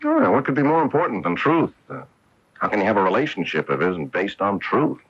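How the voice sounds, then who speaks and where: An actor playing an earnest, wistful professional golfer in a radio mystery drama, with a husky voice. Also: male voice, lowish voice, big pitch swings, a little fast, a bit bright, full character voice. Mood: affectionate, earnest. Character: wistful, earnest male professional golfer recalling his wife. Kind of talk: radio mystery drama acting, narration and dialogue. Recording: dull lo-fi AM radio broadcast.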